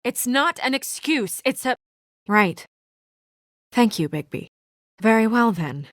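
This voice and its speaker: An actress playing a brisk, guarded young female office assistant in a noir video game drama, speaking in a crisp, businesslike, dry manner. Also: fairly high pitch, very animated, no room sound. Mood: exasperated, defensive.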